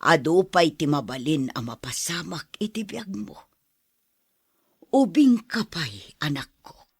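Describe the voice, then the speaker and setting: Actress as hushed, husky, worried mother. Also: low voice, expressive, unhurried, no room sound, studio quality, exaggerated voice. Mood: consoling, worried. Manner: hushed, breathy, pleading. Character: gentle, worried mother consoling her grown daughter. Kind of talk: soft audio drama acting.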